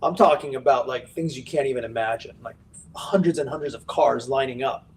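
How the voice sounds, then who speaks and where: A man's voice: medium pitch, fairly quick, darkish tone, far from the mic, poor audio. A man who grew up in Bulgaria, co-hosting a casual trading show and telling a personal story in an earnest way. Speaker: co-host. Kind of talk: casual chat.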